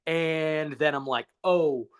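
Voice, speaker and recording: A man's voice: a little high, steady tone, takes it slowly, smooth. A man co-hosting a casual podcast over a phone call, talking in a rambling, casually profane way. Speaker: podcast co-host.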